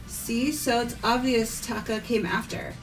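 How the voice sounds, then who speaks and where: Woman acting a self-assured schoolgirl, high-ish voice, emphatic, argumentative, visual novel dub, background music. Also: steady tone, unhurried, low-quality recording. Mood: assertive.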